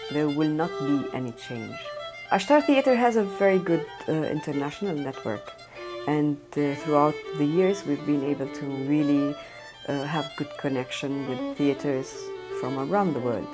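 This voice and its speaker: A woman theatre director talking earnestly in an interview, pausing often with fillers. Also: low voice. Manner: earnest, halting with frequent fillers. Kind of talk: documentary interview.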